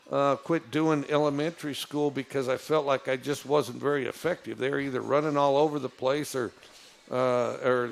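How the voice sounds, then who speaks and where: A man with a low voice, telling stories in a casual, rambling way, with many fillers and restarts. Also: vocal fry. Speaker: football coach. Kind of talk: casual storytelling.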